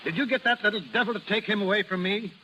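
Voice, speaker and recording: A middle-aged carnival barker, bitter and forceful, with rough slangy speech. His voice sits high and bright in a faintly noisy 1930s radio drama recording. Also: steady tone, fast, dull audio. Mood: furious. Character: bitter, hot-tempered carnival barker and possessive father.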